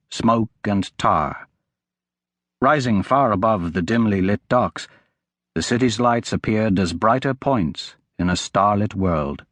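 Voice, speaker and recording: Expressive male audiobook narrator, close and dry. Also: lowish voice, dry recording. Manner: measured, dramatic storytelling. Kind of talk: scripted fantasy audiobook narration.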